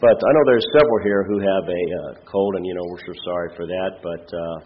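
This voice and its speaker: A man with a head cold and a nasal, congested voice gives an informal sermon as a lay preacher, in a halting, plain-spoken way. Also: low voice, narrow, tinny audio. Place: church, speaking before a congregation.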